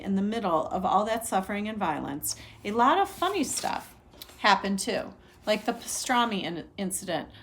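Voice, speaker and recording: Expressive woman reading a story aloud. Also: mid-range voice. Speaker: teacher reading a novel aloud. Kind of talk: expressive children's read-aloud narration.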